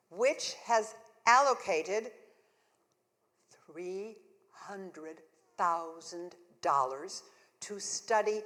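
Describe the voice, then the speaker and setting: A woman's voice: mid-range voice, fairly bright tone. An actress playing a spirited woman suffragist in her thirties, telling her own story in the first person during a live stage monologue with earnest, wry humor. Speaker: solo stage performer. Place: church hall, live performance. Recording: long church reverb.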